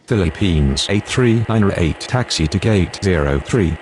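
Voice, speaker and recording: A fairly low-pitched, steady airline radio voice from a flight game, played by a man. The calls are clipped and businesslike. There is faint engine-like noise in the background. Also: a little slow. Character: steady, businesslike male airline pilot and air traffic controller. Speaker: pilot and air traffic controller voice in a game.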